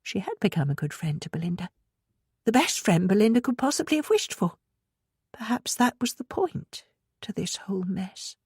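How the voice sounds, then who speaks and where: A woman with a husky voice reading a novel aloud in a measured, expressive storytelling manner. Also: medium pitch, dry recording, clean studio sound. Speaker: audiobook narrator. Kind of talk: scripted fiction narration.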